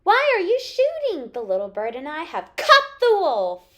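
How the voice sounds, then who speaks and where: Woman playing a bold, high-voiced young boy. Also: sing-song, normal speed. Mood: excited. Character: brave, eager young boy.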